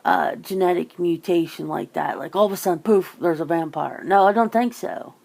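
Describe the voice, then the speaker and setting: A woman's voice: lowish voice, moderate pace, vocal fry, darkish tone. A woman in a casual, rambling chat, musing informally as she hosts. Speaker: ASMR channel host chatting with a guest.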